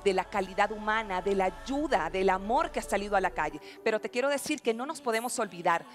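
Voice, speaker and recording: A woman's voice: mid-range voice, lively intonation, quick pace. A woman from Venezuela, a TV show host, making an earnest, heartfelt appeal to viewers. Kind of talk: TV studio and field reporting. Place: TV studio. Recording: music playing underneath.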